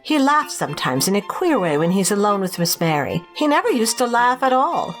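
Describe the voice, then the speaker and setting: A woman's voice: mid-range voice, very animated, brisk, soft background music, close to an everyday voice. A woman playing a flustered middle-aged housekeeper who answers her master hesitantly and deferentially, as in an amateur audio drama. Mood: worried.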